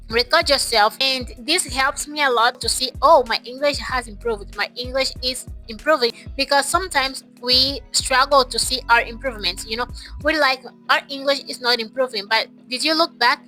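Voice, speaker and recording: A woman speaks in a high, very bright voice. She talks casually to her viewers in a motivational, emphatic way, often repeating phrases to stress them. Music plays softly behind her. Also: normal speed. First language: Portuguese. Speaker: English-learning YouTuber. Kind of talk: casual motivational talk to camera.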